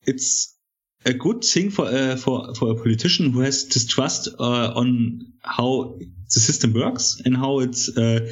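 A guest journalist with a German accent speaks haltingly and keeps restarting as he hunts for words. His voice sits at a middle pitch. The remote audio sounds dull and lo-fi. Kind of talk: casual conversation.

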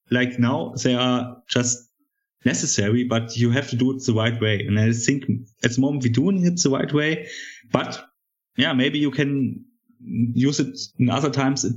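A man with a German accent, a guest journalist in casual conversation, speaking haltingly and searching for his words. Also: medium pitch, highs cut off.

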